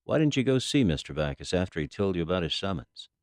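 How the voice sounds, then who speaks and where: Very deep-voiced, stern federal agent. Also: female voice, very animated, fast talker, a little mellow, no room sound, clean studio sound. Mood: probing, official. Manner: formal, clipped interrogator. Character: stern, businesslike female FBI special agent. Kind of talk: audio drama acting.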